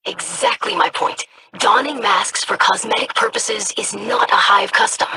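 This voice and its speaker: A performer voicing an earnest, studious scribe in a video game, speaking in a measured, scholarly manner. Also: mid-range voice, bright, forceful tone, slight background noise. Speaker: scribe investigating monsters.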